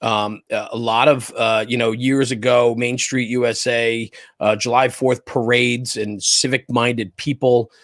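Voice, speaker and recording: A man's voice: mid-range voice, flat delivery, a little slow, few pauses, a bit bright, dry recording, studio quality. A middle-aged man with an American accent, giving his views as an interview guest in a casual, emphatic way, repeating points and checking in as he goes. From New Jersey, United States. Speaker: interview guest, fire service spokesperson and former chief. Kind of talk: casual but opinionated interview talk.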